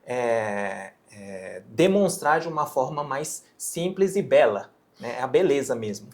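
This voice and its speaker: A man from Japan whose first language is Japanese, speaking with a Japanese accent as he explains his teaching ideas in an interview, measured and explanatory. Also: medium pitch, expressive, darkish tone. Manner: measured, explanatory, often ends phrases with a questioning tag. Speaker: physician and medical educator.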